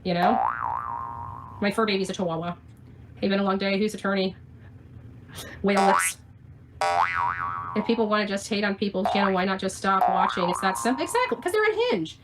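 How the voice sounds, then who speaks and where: A woman's voice: mid-range voice, animated, brisk, long pauses, over music. A woman ranting on a livestream in a combative, sarcastic, foul-mouthed way. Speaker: livestream host.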